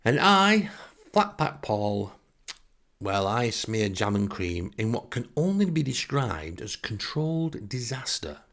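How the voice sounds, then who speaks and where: A man's voice: lowish voice, big pitch swings, slow, a bit bright. A man with a Northern English accent telling comic first-person stories in a wry, self-deprecating way with theatrical emphasis. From northern England. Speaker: storyteller and host.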